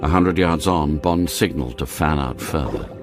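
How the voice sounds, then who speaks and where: An actor narrating an action spy thriller for radio drama in a measured, deliberate storytelling manner. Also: male voice, a bass voice. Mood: grave suspense. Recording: loud dramatic music under narration.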